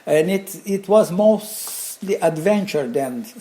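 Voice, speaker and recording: An older man from Bulgaria with a Bulgarian accent, telling stories casually in a halting, rambling but animated way. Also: sits high. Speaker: interview guest, craftsman and designer. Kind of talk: casual conversational storytelling.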